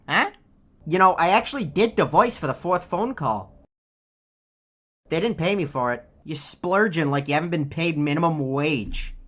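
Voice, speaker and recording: A woman voicing a lazy, greedy bird who heckles in a deadpan, sarcastic way as a video game character. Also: low voice, exaggerated voice. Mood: dry sarcasm.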